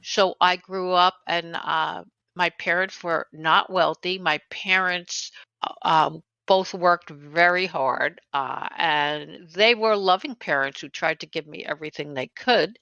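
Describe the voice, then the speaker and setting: A woman's voice: on the low side, a little slow, vocal fry, sharp tone, smooth, no room sound. An older woman from New City, a guest talking casually in an interview, with many fillers and restarts. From New York City. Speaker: guest, author and educator. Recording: dull lo-fi sound.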